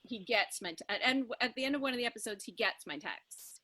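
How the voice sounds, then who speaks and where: A woman actor casually talking through ideas, telling things in an animated way. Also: fairly high pitch, fast talker, dry recording. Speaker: improv audio drama actor. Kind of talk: casual creative discussion.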